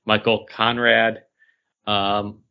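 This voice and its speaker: A man's voice: on the low side, flat delivery, extremely slow, gravelly, clean tone. A man co-hosting a podcast, talking casually about comic books on a slightly muffled, lo-fi recording. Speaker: podcast co-host. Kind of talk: casual comic book chat. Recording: dull lo-fi recording.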